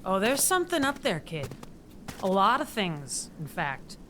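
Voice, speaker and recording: A woman playing a gruff, sardonic woodswoman with a dry, dismissive manner in a family audio drama. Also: medium pitch, big pitch swings, measured pace, fairly bright tone, slight background noise. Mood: ominous teasing.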